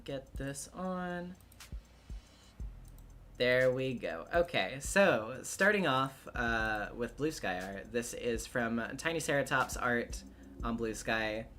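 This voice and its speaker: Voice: medium pitch, expressive, slow, a bit bright, faint music behind. A livestreamer and voice actor with a male-range voice, chatting casually in a hesitant, thinking-aloud way.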